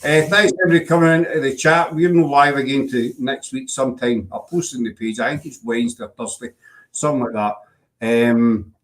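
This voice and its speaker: A man's voice: medium pitch, fairly even intonation, a little fast, a bit bright, poor audio. A man with a Glaswegian Scottish accent hosting a casual online chat show, teasing and joking with his guest. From Scotland. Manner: jokey, teasing, uses Scots words. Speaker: podcast host.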